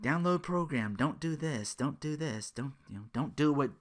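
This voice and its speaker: A podcast host with a very lively, wide-swinging pitch delivers casual ranting commentary. The manner is exasperated and sarcastic, with plenty of swearing. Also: normal speed. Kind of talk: casual ranting commentary about an internet personality.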